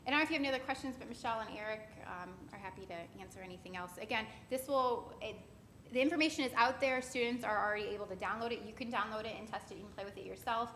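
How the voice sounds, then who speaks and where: A woman presenting to staff and taking questions in a large auditorium, in a brisk, upbeat way. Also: fairly high pitch. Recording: roomy hall with loud background noise.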